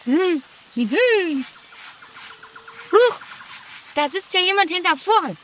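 A woman's voice: extremely high pitch, very animated, slow, a bit bright, exaggerated voice. A woman playing a flighty, curious lady in an amateur comedy radio play, theatrical and chatty. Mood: curious, uneasy. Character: gushing, flighty, curious, easily unsettled lady. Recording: distant, faintly noisy amateur 1980s recording.

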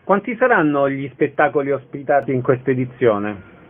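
A man's voice: mid-range voice, big pitch swings, moderate pace, phone-quality sound. A man hosting a radio interview, speaking in formal, prepared phrases. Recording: thin band-limited radio sound.

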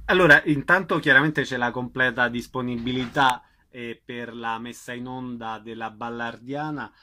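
A man hosting a radio interview, polite and brisk as he sums up and wraps up. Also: mid-range voice, fairly even intonation, pushed and bright. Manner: brisk, courteous, wraps up segments. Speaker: radio host.

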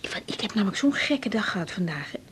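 A woman playing a young, harried doctor in a radio drama, expressive and full of questions, emotional and insistent. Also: mid-range voice, quick pace, breathy edge, in a whisper, exaggerated voice. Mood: curious, chatty. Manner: expressive, questioning, stammers when upset. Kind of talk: 1980s radio drama acting.

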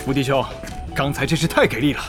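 一名男演员在广播剧里饰演一位警惕、精于算计、说话有威严的网游公会会长。. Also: male voice, mid-range voice, fast, over music, lightly acted. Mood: politely probing. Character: wary, calculating male online game guild leader. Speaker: voice actor. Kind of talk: Chinese audio drama acting.

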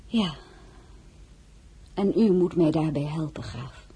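Dignified lonely princess in a radio drama, soft dark tone, measured, drops to murmurs. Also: female voice, low-pitched, husky, heavily acted. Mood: resolute. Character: dignified, lonely crown princess, frank, wistful, quietly defiant. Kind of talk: dramatic radio play acting.

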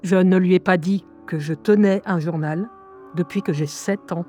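A woman's voice: on the low side, fairly even intonation, moderate pace, warm tone, faint music behind, lightly acted. An actress playing a wry young woman who hears voices, reading her diary in a radio drama with ironic, confiding asides. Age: young adult. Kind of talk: radio drama first-person monologue.

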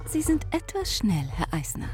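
An actress playing a wistful, hesitant cultured lady in a literary radio drama, with a musing, halting manner. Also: fairly high pitch, huge pitch swings, darkish tone, soft background music. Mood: coyly hesitant.

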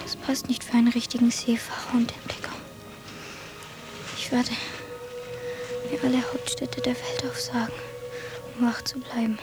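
A woman's voice: on the high side, little pitch movement, moderate pace, long pauses, in a whisper, over music, close to an everyday voice. A woman playing a dreamy, earnest schoolboy who longs for the sea in a German radio drama. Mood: weary musing. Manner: earnest, wondering.

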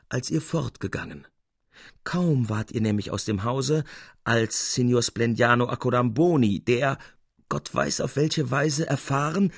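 Man as confident painter friend, scheming, amused, creaky voice, dry radio storytelling. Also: mid-range voice, no room sound.

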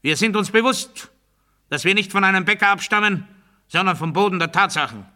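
An actor playing an obedience-obsessed man delivering absurd totalitarian doctrine in a deliberate, declamatory radio play monologue. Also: high-pitched, animated, full character voice. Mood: strident proclamation. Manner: deliberate, declamatory, swinging from hushed confiding to strident proclamation.

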